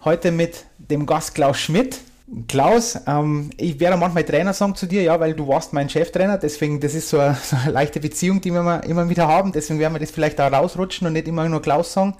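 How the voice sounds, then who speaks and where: A man's voice: on the high side, brisk, a little mellow. Chatty southern German man hosting. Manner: friendly, chatty, joking asides. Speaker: podcast host, ice hockey coach educator. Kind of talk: casual interview hosting.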